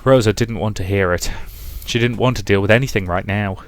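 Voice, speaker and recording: A man reading a visual novel aloud as expressive story narration, as a let's player. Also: lowish voice, fairly quick. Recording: music behind the voice.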